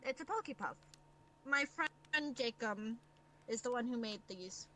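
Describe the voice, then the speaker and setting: High bright woman's voice, casual roleplay. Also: expressive, a little slow. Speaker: roleplay player. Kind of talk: casual in-character roleplay chat with narrated actions. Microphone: voice chat in a virtual reality game. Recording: noisy online voice chat.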